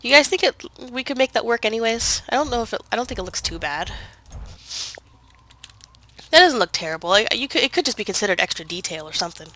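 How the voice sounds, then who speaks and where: A woman's voice: on the high side, expressive, fast talker, pushed and bright. A woman with a head cold, sounding congested, chatting casually through gameplay with lots of fillers and self-corrections. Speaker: gaming video creator.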